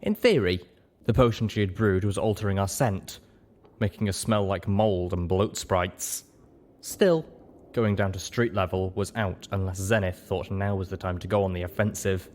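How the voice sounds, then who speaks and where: A man's voice: low-pitched, fairly even intonation, moderate pace, a little mellow. A man reading scripted first-person story narration as an audiobook narrator.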